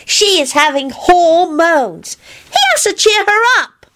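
A woman voicing an excitable, self-important little boy in a storybook, bossy and eager. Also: very high-pitched, takes it slowly, voice pushed up, heavily acted.

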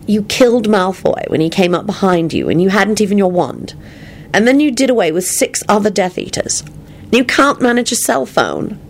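A patient, teasing woman in a storybook, played by a woman narrator. She sounds amused and instructive, her pitch moving freely, with some fry in the voice. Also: lively intonation, moderate pace, slight background noise, natural voice.

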